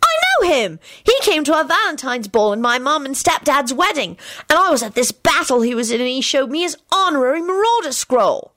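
A woman voicing an excitable, boastful young wizard boy for an audiobook. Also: high voice, a little fast, dry recording, studio quality, pitched above the performer's own voice, exaggerated voice. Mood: excited. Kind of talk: audiobook character dialogue.

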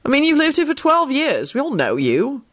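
A woman's voice: high-pitched, wildly swooping pitch, normal speed, fairly bright tone, pitched above the performer's own voice, heavily acted. A woman voicing a cocky young male twin in a story, matter-of-fact and consoling. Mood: practical.

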